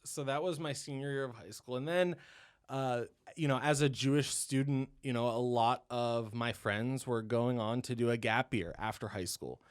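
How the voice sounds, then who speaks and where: Rambling young man with a smooth voice. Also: mid-range voice, moderate pace. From Ohio, USA. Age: 20s. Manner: rambling, heavy on "you know" and "um", laughs often. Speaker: podcast guest, dental student. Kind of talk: casual interview chat.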